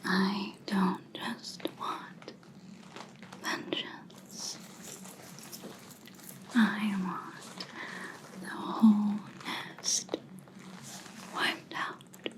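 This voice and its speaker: A woman's voice: medium pitch, level tone, rough recording. A woman playing a grim, vengeful vampire hunter in an ASMR roleplay, whispering breathily and menacingly close to the microphone. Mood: bitter, vengeful. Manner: slow, deliberate, menacing.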